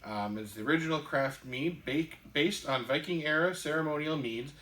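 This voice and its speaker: A man's voice: fairly high pitch, moderate pace, fairly bright tone, poor audio. A man co-hosting a casual podcast, telling a long story with many "um"s and "uh"s. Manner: rambling storyteller with many fillers. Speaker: podcast co-host. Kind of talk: casual chat.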